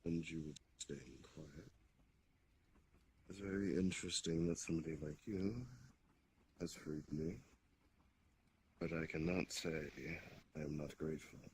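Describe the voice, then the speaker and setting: An ancient demon king, acted by a man in an online roleplay, speaks in a deep, low voice. He is formal and deliberate, with calm authority and polite manners. Background noise sits behind the voice. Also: rough recording. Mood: courteous, commanding. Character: ancient demon king, courteous, measured, quietly commanding.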